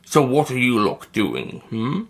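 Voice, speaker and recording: Guy doing a quirky male space adventurer, curious, gets exasperated fast, husky, swings around in pitch, audiobook. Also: sing-song. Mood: cross, defiant. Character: male alien time traveller, curious, quirky, easily exasperated. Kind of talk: audiobook character voice.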